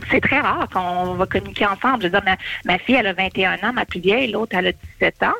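A woman's voice: mid-range voice, fast, vocal fry, sharp tone, soft background music. A woman in her forties with a Québécois accent, talking at length as a caller on a radio show and often repeating words. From Quebec. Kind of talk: call-in conversation.

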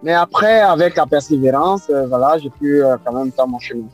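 An Ivorian-accented man in his forties talks in a slightly high voice. He answers hesitantly, filling pauses and repeating himself, while soft music plays faintly in the background. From Ivory Coast. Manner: hesitant, many fillers and repeated words. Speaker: guest artist. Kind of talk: radio interview answers.

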